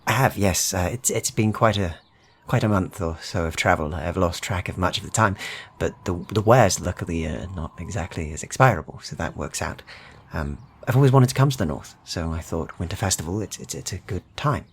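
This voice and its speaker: A man's voice: low-pitched, fairly quick, vocal fry, few pauses, breathy edge, full character voice. A man voicing an awkward, chatty travelling trader in a fantasy game, hesitant and eager, often stammering over his words. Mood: nervous, chatty.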